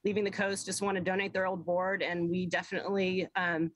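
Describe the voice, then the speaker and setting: A woman in her fifties, a surfboard recycling co-founder, speaking in a relaxed way with many pauses in a remote TV interview. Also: on the low side, no room sound, poor audio. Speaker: co-founder, guest. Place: outdoors with surfboards.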